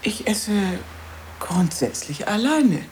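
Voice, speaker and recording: A woman's voice: mid-range voice, very animated, slow pace, a little mellow, a little hiss or hum. An actress playing a brusque, solitary woman who guards her peace with curt, dismissive remarks in a radio play. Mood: curt, suspicious.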